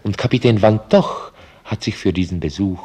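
An actor narrating a German radio drama as a wry, knowing storyteller in a husky, breathy voice. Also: male voice, fairly low pitch, moderate pace, darkish tone. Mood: wry, confiding.

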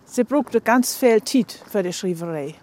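Northern German woman, casual mid-pitched recollection. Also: expressive, a little slow, a little mellow. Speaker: interviewee. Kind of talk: conversational recollection.

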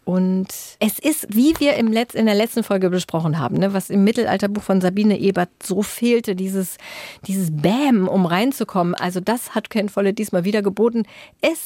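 A German woman with a medium-pitched voice chats as a podcast co-host. Her delivery is conversational and addressed straight to the listeners. The studio sound is dry, with hardly any room in it. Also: moderate pace, mellow, no room sound. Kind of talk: casual book-podcast chat.

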